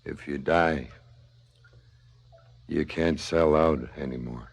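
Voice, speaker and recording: An actor playing a wry, fatalistic cop in a 1970s radio drama, drawling and mocking. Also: male voice, extremely low pitch, fairly even intonation, takes it slowly, gravelly, heavily acted. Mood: fatalistic, musing. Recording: slightly dull 1970s radio recording.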